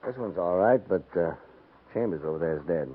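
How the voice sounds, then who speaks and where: An actor playing a proud, defiant cavalry deserter, husky and earnestly pleading, in 1950s radio western style. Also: male voice, deep voice, expressive, fairly quick, phone-quality sound. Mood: subdued. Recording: band-limited 1950s radio recording.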